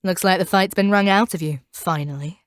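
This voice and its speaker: Woman acting as a haughty, low-voiced female monster hunter. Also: dry recording, studio quality, lightly acted. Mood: cool, appraising. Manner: haughty, stern, deliberate. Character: proud, ruthless female monster hunter, cold and commanding. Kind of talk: scripted audio roleplay acting.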